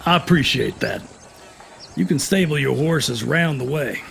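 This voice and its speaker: An actor playing a firm, fair and courteous Western sheriff, speaking in a measured, plain-spoken American drawl. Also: male voice, on the high side, lively intonation, creaky, a bit bright, slight background noise, full character voice. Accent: American, Southern or Western drawl. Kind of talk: Western audio drama acting.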